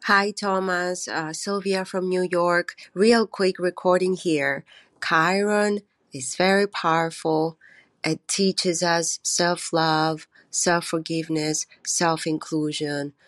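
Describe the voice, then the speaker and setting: A woman in her forties who grew up in Greece, with Greek as her first language, leaves an earnest voice message that pauses here and there. Her voice sits a bit low, and the recording is dry and close. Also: fairly even intonation, no room sound. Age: 40s. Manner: earnest, warm, a little halting. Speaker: listener sending a voice message.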